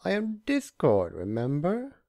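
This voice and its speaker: A man's voice: fairly high pitch, huge pitch swings, a little slow, creaky, warm tone, no room sound, clean studio sound, pitched above the performer's own voice, exaggerated voice. A man voicing a gleeful, scheming male chaos spirit in a storybook reading, grandiose, theatrical and mock-sweet. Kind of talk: storybook character voice.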